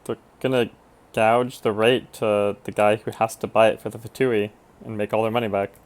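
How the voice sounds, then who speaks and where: A man's voice: fairly low pitch, flat delivery, moderate pace. A man giving casual live commentary as he streams a game.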